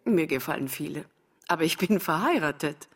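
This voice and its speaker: A woman's voice: low-pitched, lively intonation, fairly quick. An actress playing an earthy, forthright married woman in a radio drama, direct, wry and emotional. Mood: wry, flirtatious. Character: earthy, forthright older wife, a former dancer.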